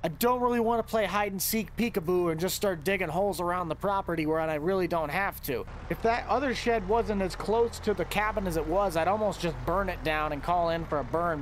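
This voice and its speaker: A man giving casual, chatty commentary over his gameplay, talking straight to his viewers with excitable outbursts. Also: high-pitched, a little hiss or hum. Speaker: gameplay video host.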